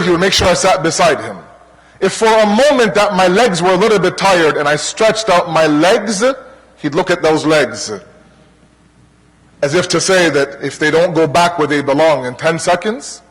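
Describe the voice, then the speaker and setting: A man from Toronto with a Canadian accent preaching to a congregation in an earnest, rhetorical manner, pausing between deliberate parallel phrases. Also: on the high side, a bit bright, slightly rough. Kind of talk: religious lecture to a congregation. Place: large hall, speaking to a gathering. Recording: long hall reverb.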